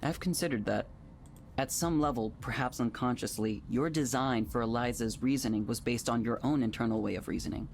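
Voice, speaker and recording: An actor playing a polite, composed male tech company manager in a visual novel, speaking in a measured, businesslike way. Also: medium pitch, a little mellow, a little hiss or hum, lightly acted. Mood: measured, explaining.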